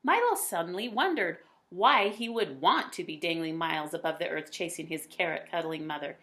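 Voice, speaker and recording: A woman reading a children's picture book aloud, with dramatic emphasis and drawn-out words. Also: on the low side, animated, a bit bright. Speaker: read-aloud storyteller.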